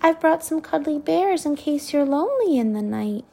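A woman voicing a brave little girl princess in a storybook, high and sweet. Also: lively intonation, moderate pace, gravelly, dark tone. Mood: earnest.

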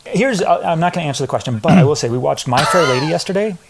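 A man in his thirties chatting as a podcast guest, his slightly nasal voice carrying a gravelly edge. He wanders through his answers with lots of ums, likes and false starts. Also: fairly high pitch, animated, very fast, very noisy. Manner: hesitant, rambling, many restarts and fillers. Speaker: podcast guest, former runner. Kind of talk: casual interview chat.

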